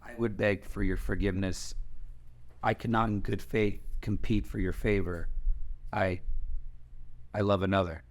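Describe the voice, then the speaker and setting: A man's voice: on the low side, level tone, measured pace, long pauses, natural voice. A man playing a polite, apologetic courtier in a dramatic screenplay table read. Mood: humble, polite.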